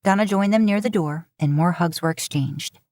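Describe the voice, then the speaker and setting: A woman's voice: fairly low pitch, moderate pace, darkish tone, no room sound, clean studio sound. A woman reading a story as a scripted narration. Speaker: podfic narrator. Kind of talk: scripted narration of fan fiction.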